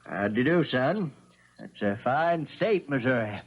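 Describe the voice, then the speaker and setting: An actor playing a folksy small-town Southern judge, sly and probing, in a drawling 1970s radio drama style. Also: male voice, medium pitch, expressive, unhurried, husky, phone-quality sound.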